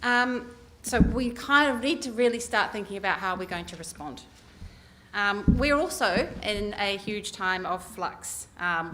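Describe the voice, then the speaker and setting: A woman's voice: medium pitch, a bit bright, low-quality recording. A middle-aged woman with a New Zealand accent giving an earnest election speech at a community meeting, with many ums and uhs. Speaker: council election candidate. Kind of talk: campaign speech. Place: community hall meeting room.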